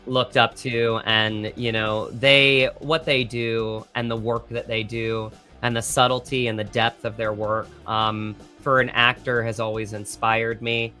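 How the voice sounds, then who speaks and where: A man gives casual, thoughtful interview answers as an actor guest. His mid-pitched voice is bright and forceful. He speaks earnestly and often halts to find his words, with music in the background. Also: flat delivery. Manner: earnest, halting and reflective, searching for words.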